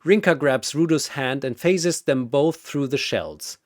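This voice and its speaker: A man's voice: medium pitch, a little slow, no echo, studio quality. A man delivering dramatic scripted battle narration in a theatrical, punchy way.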